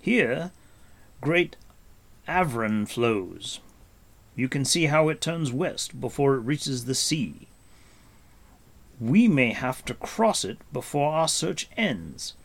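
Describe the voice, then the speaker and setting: A grave, patient warrior of a fantasy storybook, as a male reader plays him, speaks in raised, swooping tones. He is deliberate and formal, giving each phrase commanding weight at a slow pace. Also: medium pitch, very animated, pitched above the performer's own voice, full character voice. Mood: grave, instructive.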